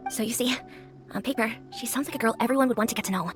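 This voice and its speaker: A woman's voice: fairly high pitch, rapid-fire, over music, natural voice. A woman playing a friendly, chatty neighbourhood restaurant owner in a video game, obliging and confiding in manner.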